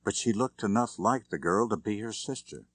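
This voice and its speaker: A man reading a suspense novel as an audiobook narrator, measured and dramatic. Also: on the low side, animated, no room sound.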